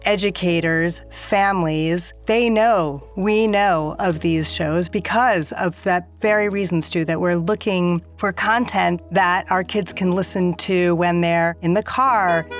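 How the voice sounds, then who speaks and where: A woman's voice: lowish voice, fairly even intonation, a little slow, hardly any pauses, faint music behind. A woman answering conversationally as a guest in a podcast interview.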